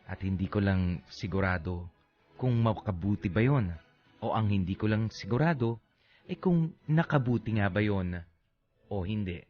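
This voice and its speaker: A man with a Filipino accent playing a heartbroken elder brother, reading his letter in a measured, reflective way for a radio drama. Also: fairly low pitch, animated, faint music behind. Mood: reflective, wistful. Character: heartbroken adult elder brother working abroad as nurse, narrating. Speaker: drama letter sender and narrator. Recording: dull lo-fi radio audio.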